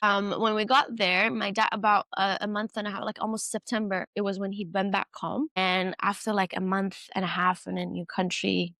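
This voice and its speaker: A woman's voice: mid-range voice, little pitch movement, moderate pace, hardly any pauses, bright, forceful tone, no room sound. A woman in her twenties, originally from Iran, talking casually and candidly as a guest about her life, with frequent fillers and restarts. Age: 20s. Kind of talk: casual conversation.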